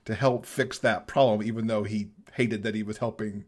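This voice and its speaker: A man's voice: fairly low pitch, fairly even intonation, normal speed. A man speaking as a podcast guest, casually giving his thoughts on a book.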